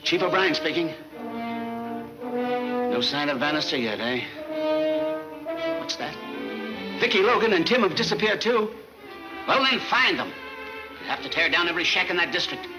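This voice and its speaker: An irascible police chief from a 1940s serial. The voice is high and very animated, barking with exasperation. A clear brassy score plays behind on the old soundtrack. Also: male voice, normal speed, long pauses, over loud music, poor audio. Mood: exasperated. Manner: blustering, exasperated. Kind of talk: 1940s film serial acting.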